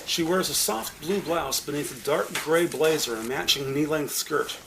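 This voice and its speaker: A man narrating a crime audio drama with scripted, descriptive storytelling in a deliberate, literary manner. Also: a little high, vocal fry, noisy background.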